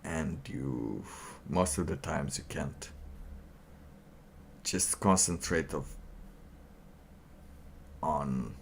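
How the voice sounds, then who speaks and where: Male streamer, deep register, unhurried and halting, thinking aloud in loose, trailing phrases. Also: creaky, a little hiss or hum. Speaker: livestreamer. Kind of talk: casual livestream monologue.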